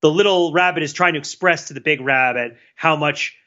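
A man's voice: on the high side, fairly quick, pushed and bright, highs cut off. A man co-hosting a podcast in casual chat, explaining things in a storytelling way. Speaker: podcast co-host.

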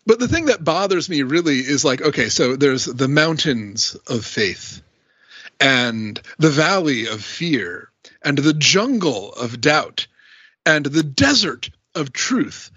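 A man in a casual, analytical panel discussion, animated and opinionated, talking in asides on a slightly dull recording. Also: on the high side, takes it slowly, husky, dry recording. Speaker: podcast panelist.

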